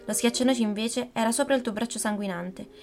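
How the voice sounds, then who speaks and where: A woman's voice: fairly high pitch, quick pace, a little mellow, soft background music, lightly acted. A woman voicing a worried, firm mother who scolds and soothes her daughter, in a storybook reading. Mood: scolding.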